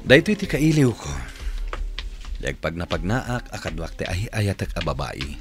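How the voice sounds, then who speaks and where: Deep, animated Filipino suitor in love. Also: male voice, normal speed. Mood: wistful, sentimental. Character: earnest, possessive male suitor in love. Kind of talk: Ilocano radio drama acting. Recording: loud music behind. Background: music.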